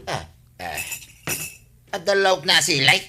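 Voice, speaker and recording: Piercing, grumbling Ilocano husband. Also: male voice, a little high, big pitch swings, slow, pushed and bright, faint music behind, heavily acted. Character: whining, grumbling husband picky about his food. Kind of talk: Ilocano radio comedy-drama acting.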